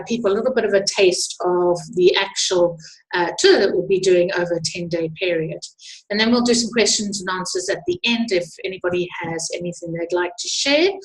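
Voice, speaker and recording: A middle-aged woman with a South African accent, presenting informally as the host of an online webinar. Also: mid-range voice. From Zimbabwe and South Africa. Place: indoors at a computer, online webinar. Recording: echoey room on computer microphone.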